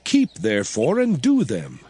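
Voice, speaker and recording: Slow, solemn commanding biblical prophet. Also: male voice, medium pitch, huge pitch swings, dark tone, breathy edge, dry recording, full character voice. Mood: solemn, exhorting. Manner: solemn, oratorical, measured, emphatic. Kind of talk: dramatized scripture reading. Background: music.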